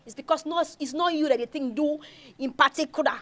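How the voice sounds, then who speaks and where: Performer as aggrieved young hothead, very high voice, Nigerian accent, indignant, stammering repeats. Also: brisk, sharp tone. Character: aggrieved hot-headed young schemer, ranting about revenge. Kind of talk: acted Nigerian Pidgin comedy dialogue.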